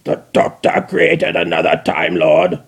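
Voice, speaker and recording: Flat robotic alien machine, gravelly and slow, some guy putting it on. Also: on the high side, voice pushed up, heavily acted. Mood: cold. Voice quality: gravelly, mechanical. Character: cold gravelly mechanical alien war machine.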